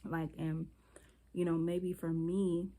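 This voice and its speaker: A woman with a slightly low voice talks to her viewers in a loose, rambling vlog, her nose stuffed up from a cold. She is candid and chatty and drifts from one thought to the next, recorded on a phone camera. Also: flat delivery, slow, warm tone. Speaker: beauty vlogger.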